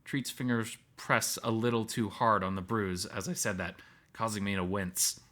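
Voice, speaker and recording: A man's voice: on the low side, steady tone, normal speed, a bit bright. A man reading first-person story narration aloud from a visual novel.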